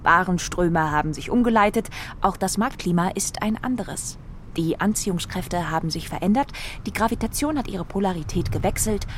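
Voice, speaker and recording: A woman playing a solemn revue speaker, declaiming stage text in measured, clipped statements. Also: lowish voice, lively intonation, a little hiss or hum, lightly acted. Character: solemn female revue speaker pronouncing on Europe's decline.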